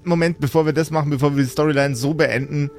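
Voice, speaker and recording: A man narrating an improvised fantasy story as game master, with theatrical, relishing, drawn-out phrasing. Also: on the high side, steady tone, a little mellow, soft background music.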